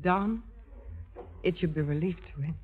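A woman's voice: fairly low pitch, moderate pace, slightly rough. An actress playing a plucky, flirtatious and proud woman entertainer in a 1950s radio drama. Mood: wry, resolute. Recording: tinny 1950s radio broadcast with music.

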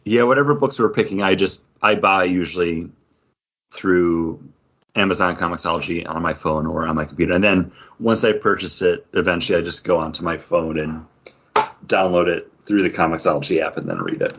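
A man's voice: low voice, expressive, fairly quick, fairly bright tone, breathy edge. A man co-hosting a podcast, talking casually and conversationally. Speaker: podcast co-host. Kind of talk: casual chat. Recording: dull lo-fi sound.

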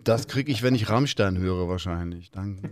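A man's voice: low voice, normal speed, a loud crowd. A man co-hosting a podcast interview who asks questions and makes jokes. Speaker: podcast co-host. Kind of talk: casual interview.

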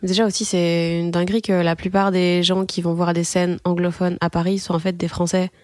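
A woman interviewer chatting casually, relaxed and informal. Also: on the low side, a little fast, dry recording, studio quality.

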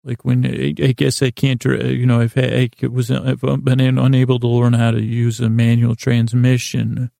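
A man hosting a sleep podcast in a creaky voice, meandering haltingly in lulling, soothing tones, repeating himself and trailing off. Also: mid-range voice, flat delivery, dark tone, dry recording, clean studio sound. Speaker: podcast host. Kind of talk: rambling sleep-podcast monologue.

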